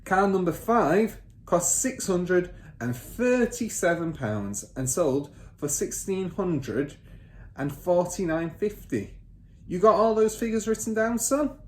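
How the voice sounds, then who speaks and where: A man voicing a boastful, bullying father and crooked car dealer in a children's storybook, loud, pompous and emphatic. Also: sits high, expressive, slow, voice pushed up. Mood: smug, emphatic. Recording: roomy echo, faint background noise.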